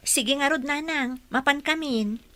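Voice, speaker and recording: An actress with an Ilocano accent playing a shy, respectful young provincial employee in a radio drama. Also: female voice, high voice, unhurried, no room sound. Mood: polite, shy. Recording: loud noise behind the voice.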